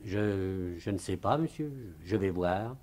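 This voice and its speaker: An actor playing a guarded, deferential manservant, formal and hesitant, in a French radio mystery in 1950s style. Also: male voice, sits low, animated, slow pace, darkish tone. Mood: wary, deferential. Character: deferential, guarded male household servant.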